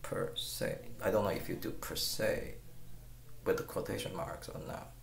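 A middle-aged man with Chinese as his first language talks to camera from a small room at home, his voice very deep and gravelly. He circles back on his words and keeps pressing the listener with questions. The webcam catches an echo off the walls and faint guitar. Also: fairly even intonation, normal speed. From China. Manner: rambling, repeats words, asks the viewer pointed questions. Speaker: guitar teacher. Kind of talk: casual talk to camera. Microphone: webcam at close range.

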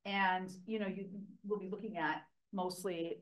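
A middle-aged woman fashion historian, starting a public lecture in a library meeting room, loud, chatty and enthusiastic, heard from a camera in the audience. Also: fairly low pitch, fairly even intonation, unhurried, dull audio. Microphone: far camera in the audience.

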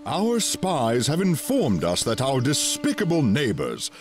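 Brief: a haughty game ambassador from the tree folk, grand and formal, pitch swooping widely. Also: male voice, wildly swooping pitch, a little slow, soft background music. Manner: formal, lofty diplomatic speech. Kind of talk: video game dialogue.

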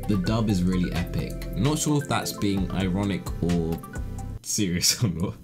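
A man's voice: deep voice, lively intonation, normal speed. A man in his twenties with a British accent, giving casual, slangy, self-mocking reaction commentary. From Britain. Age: 20s. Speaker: YouTuber reacting to his old voice acting. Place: small bedroom with shelves, indoors. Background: background music.

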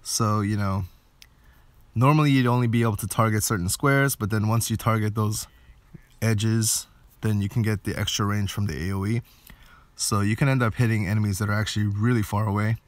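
A man's voice: on the low side, fairly even intonation, brisk, no echo. A man giving casual gameplay commentary, thinking aloud a little hesitantly as he plays. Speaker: game video creator.

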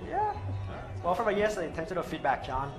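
Man with Vietnamese accent pitching on stage. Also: wildly swooping pitch, over music. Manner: earnest, halting non-native phrasing. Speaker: startup founder pitching. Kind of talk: live product pitch. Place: open-air stage at a trade show. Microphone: headset mic.

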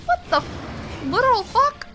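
A woman's voice: very high voice, sing-song, a little slow, over music. A woman giving casual, reactive commentary on a video game, slangy and often puzzled. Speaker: gamer doing a blind let's play.

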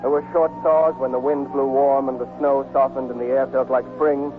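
An actor playing a steady, devoted American man in a plain, understated manner, in 1940s radio drama style. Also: medium pitch, moderate pace, natural voice. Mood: reflective. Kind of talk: 1940s radio drama acting and first-person narration. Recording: muffled 1940s radio recording with music.

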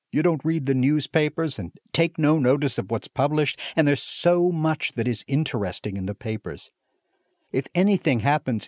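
A giddy young clerk bursts out in raised, eager exclamations, as a male audiobook reader puts him on. The voice climbs well above the reader's own low register and sounds dry and close. His lines tumble out one after another. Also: no room sound. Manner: excited, gushing, tumbling exclamations. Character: overjoyed young male clerk, breathless with excitement. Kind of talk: audiobook character reading.

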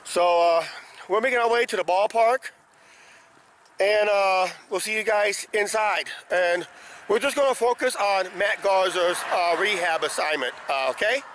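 A middle-aged man hosts a casual vlog in a slightly hoarse, fairly high voice. He rambles along with frequent hesitant tags. He is filming himself outdoors at arm's length, with clear ambient noise around him. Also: measured pace, fairly bright tone. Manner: rambling, repeats "uh, basically". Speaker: vlog host. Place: outdoors, roadside and ballpark. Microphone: handheld camera at arm's length.

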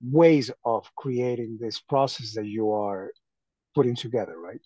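A man from Venezuela talking casually on a group video call, haltingly, often repeating his phrases. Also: mid-range voice, dark tone, slightly rough, no echo. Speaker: call participant. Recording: dull lo-fi call audio.

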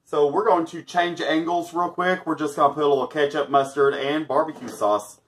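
A man's voice: a little high, steady tone, normal speed. Joking man in his thirties. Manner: joking, chuckles often. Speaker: vlogger testing a product. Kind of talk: casual product review chat. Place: small indoor room, backdrop with stars.

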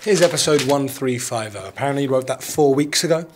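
A man's voice: medium pitch, fast, slight background noise, lightly acted. A young man playing a blunt, complaining script office worker in a comedy soap. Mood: accusing. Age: young adult.

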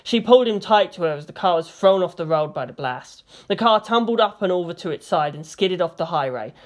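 A woman's voice: fairly low pitch, expressive, brisk. A woman reading fan fiction aloud as narrator, in a dramatic storytelling style.

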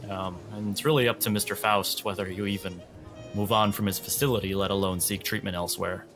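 A man acts out a bureaucratic handler for a tabletop podcast. His voice is a bit low, detached and hesitant, with soft music underneath. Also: fairly even intonation, fairly quick. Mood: detached, official. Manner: hesitant, matter-of-fact. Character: detached, bureaucratic male escort handling a patient transfer. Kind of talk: tabletop roleplay in character.

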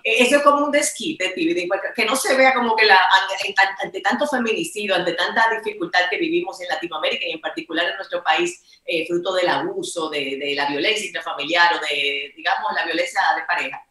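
Casting: bright, clear Dominican woman host in her forties, eager and quick to laugh, echoey room sound. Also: lowish voice. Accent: Dominican Spanish. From Dominican Republic. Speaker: host, interviewer. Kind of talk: casual interview over video call. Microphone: video call.

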